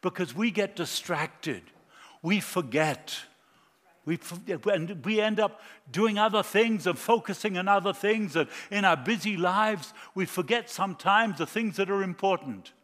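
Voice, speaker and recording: Bright-voiced man preaching in a reverberant hall. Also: high voice, animated, a little slow. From Argentina. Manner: jokey, anecdotal. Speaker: guest preacher. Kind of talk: sermon.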